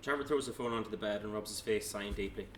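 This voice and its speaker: A man's voice: lowish voice, fast, fairly bright tone, a little hiss or hum. A man narrating stage directions at a table read, plainly, with small stumbles as he reads.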